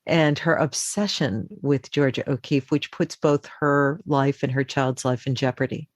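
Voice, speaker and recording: A woman from Boston, a voice actor, chatting as an interview guest with quick, animated restarts. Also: very deep voice, dry recording, clean studio sound. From Boston, United States. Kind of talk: conversational interview.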